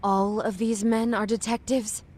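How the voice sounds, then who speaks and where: A woman's voice: medium pitch, little pitch movement, a little slow, a little mellow, slight background noise, natural voice. Earnest, strong-willed woman teacher, played by an actress. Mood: guarded, concerned. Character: earnest, strong-willed female homeroom teacher, skeptical and caring. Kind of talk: dramatic video game dialogue. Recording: music behind the voice.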